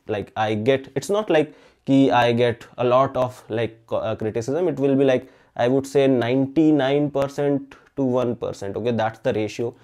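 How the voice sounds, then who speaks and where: Earnest man, mellow voice, Hindi native. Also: mid-range voice. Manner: earnest, frequent "okay?" tags and fillers. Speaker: chemistry channel host. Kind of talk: casual talk to camera. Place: indoor room with balloons. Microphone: facing camera at mid framing.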